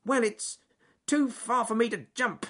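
Grumpy frantic boy squirrel for a kids story, really high voice, rude and shrill. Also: unhurried, pushed and bright, heavily acted. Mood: impatient. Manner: shrill, blunt, cross exclamations. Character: rude, frantic, bad-tempered male red squirrel. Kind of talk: children's storybook character voice.

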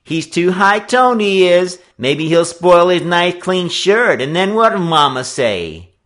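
A man voicing young street roughs in a storybook, mocking and howling taunts. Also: high voice, sing-song, takes it slowly, a bit bright, pitched above the performer's own voice, heavily acted. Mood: jeering, taunting.

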